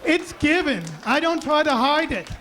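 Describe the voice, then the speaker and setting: A young man with a high, raspy voice doing a self-deprecating deadpan stand-up set on a club stage mic. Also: normal speed, noisy background. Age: young adult. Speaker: stand-up comedian. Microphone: handheld stage mic.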